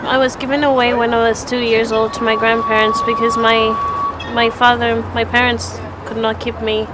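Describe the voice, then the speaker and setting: A young woman from Zimbabwe tells her faith story in a slightly high, level voice that hardly rises or falls. She speaks earnestly and evenly, with small restarts, while street and traffic noise runs clearly behind her outdoors. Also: little pitch movement, moderate pace. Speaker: church testimony speaker. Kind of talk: personal faith testimony.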